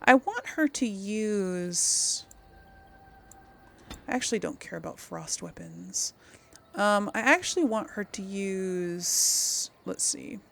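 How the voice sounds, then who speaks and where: A woman's voice: mid-range voice, wildly swooping pitch, slow pace, vocal fry. A woman giving casual game commentary, musing aloud as she plays and role-plays dialogue. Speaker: gamer, series host.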